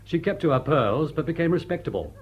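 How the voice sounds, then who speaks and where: An actor playing a suave, unflappable butler in a radio drama, formal and dry, with an upper-class English accent. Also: male voice, on the high side, fairly quick, husky. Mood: dry, gossipy. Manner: formal, dry, deadpan wit. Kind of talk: British radio drama acting.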